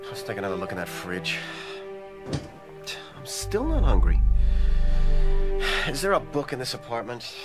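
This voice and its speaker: Casting: jittery young man fearing he's a werewolf, mid-pitched and animated, talking to himself, radio drama. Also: unhurried, pauses often. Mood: weary, uneasy. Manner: muttering to himself in short clipped phrases. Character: restless young man anxious about becoming a werewolf. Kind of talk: horror radio drama monologue. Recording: loud music behind the voice.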